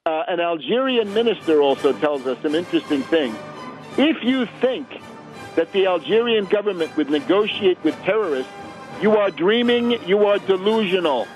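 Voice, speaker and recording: A man hosting a radio show, giving opinionated political commentary with emphatic, deliberate, halting phrasing and a mocking edge. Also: high-pitched, expressive, soft background music. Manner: emphatic, rhetorical questions, mocking when quoting. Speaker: radio host. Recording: narrowband, muffled radio broadcast sound.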